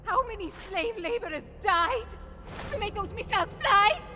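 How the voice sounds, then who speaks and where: A woman playing a fierce, vengeful young resistance fighter in a video game drama, intense and deliberate. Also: extremely high pitch, lively intonation, sharp tone, soft background music, full character voice. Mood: angry. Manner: intense, deliberate, emotionally charged. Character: fierce, vengeful young female Italian partisan.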